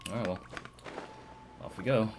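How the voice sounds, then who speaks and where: A man with a low, deep voice comments casually over his game stream. He sounds laid-back and informal, with faint ambient noise behind him. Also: normal speed.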